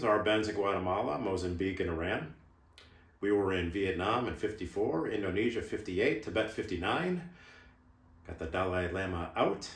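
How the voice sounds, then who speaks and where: In a roomy, echoing space, a man plays a shadowy former military intelligence officer in a film-style monologue. The voice is low, and he confides what he knows in measured, clipped phrases with cynical emphasis. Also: a bit bright. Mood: matter-of-fact, wry. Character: shadowy ex-military intelligence insider, guarded, cynical, revealing secrets. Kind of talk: dramatic film monologue performance. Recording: roomy, echoing room sound.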